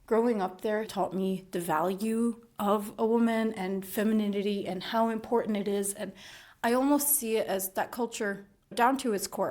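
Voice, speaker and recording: A woman's voice: medium pitch, darkish tone. A young adult woman from Dubai, a guest on a podcast, talking in a casual, reflective way and laughing often. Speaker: podcast guest, school principal.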